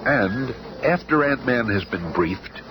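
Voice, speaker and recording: A man's voice: mid-range voice, expressive, slow pace. A man narrating a 1970s radio serial in a florid, theatrical, dramatic manner. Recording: very noisy old 1970s radio recording.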